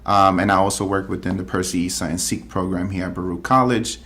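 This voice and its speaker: A man, introducing his work and studies haltingly in an interview. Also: low-pitched, fairly even intonation, fairly bright tone. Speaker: college staffer and master's student.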